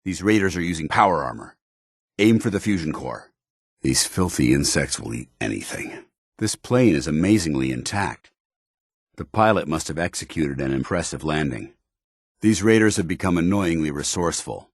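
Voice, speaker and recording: Game companion role: dutiful armored military officer, stern and loyal, low clean voice, formal clipped delivery, dry recording. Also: male voice, expressive, moderate pace, husky, dry recording, exaggerated voice. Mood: wary, observant. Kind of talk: video game companion dialogue.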